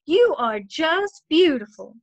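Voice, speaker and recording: A woman's voice: high voice, big pitch swings, takes it slowly, no echo, highs cut off, pitched above the performer's own voice, heavily acted. A woman voicing a doting grandmother in a storybook, loving and exclaiming. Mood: adoring.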